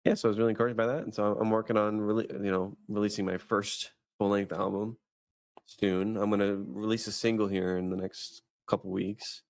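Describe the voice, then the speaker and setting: A man's voice: on the low side, little pitch movement, fairly quick, creaky, darkish tone, no echo. A man in his thirties co-hosting a faith podcast, chatting casually and rambling with many restarts and fillers. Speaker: podcast host. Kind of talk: casual chat.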